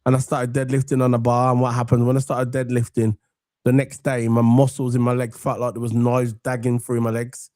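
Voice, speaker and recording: An English man with a croaky, cold-worn voice hosts a casual football chat, rambling on and giving shout-outs to viewers. His tone sits mellow and dark, and the sound is dry and close. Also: medium pitch, completely flat delivery, brisk, dry recording. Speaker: football podcast host.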